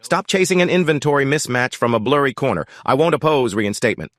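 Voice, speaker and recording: A man's voice: medium pitch, lively intonation, fast, fairly bright tone, no echo, clean studio sound, pitched above the performer's own voice, close to an everyday voice. A man voicing a manipulative male rival who talks in a pushy, persuasive way. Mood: pressuring.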